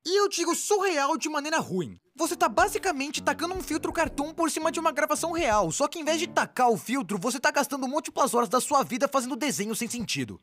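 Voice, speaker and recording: An excitable cartoon explainer narrator with a Brazilian accent, performed by a woman. The voice sits high and moves in lively swoops, with faint music underneath. The delivery is scripted yet informal, wry and exaggerated. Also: quick pace, few pauses. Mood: wry, enthusiastic. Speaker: narrator of an animated explainer video.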